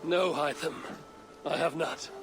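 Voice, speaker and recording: A gravelly viking fighter in a game, solemn but good-hearted, measured talk, music behind. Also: male voice, fairly high pitch, fairly even intonation. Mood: respectful. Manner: measured, solemn, plain-spoken. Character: stoic, warm-hearted Viking warrior leader of a clan. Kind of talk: historical game dialogue.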